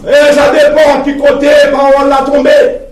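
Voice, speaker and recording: A Haitian man delivers a fervent sermon in a very high-pitched voice. He is emphatic and repeats his phrases for weight, breaking in with exclamations of praise. The sound is echoey and distant from the mic, in a room with people. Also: monotone, normal speed, a faint crowd. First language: Haitian Creole. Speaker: preacher. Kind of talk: fervent sermon mixing Creole and French.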